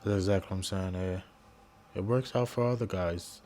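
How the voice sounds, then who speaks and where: A man with a very deep voice reacts to a video in loose, casual talk. He rambles and keeps restarting his sentences, and his delivery stays low and monotone. Faint noise hums under the recording. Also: moderate pace.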